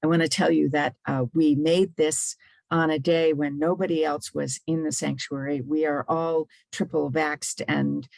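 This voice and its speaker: A woman minister giving a relaxed, casual welcome, halting now and then. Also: low-pitched, creaky, no echo, poor audio. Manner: relaxed, halting with small pauses.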